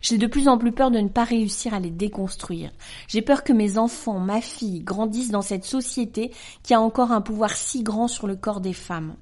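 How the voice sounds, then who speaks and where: A woman in her forties hosting a podcast, reading a letter aloud in a calm, measured, earnest way. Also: medium pitch. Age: 40s. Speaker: podcast host.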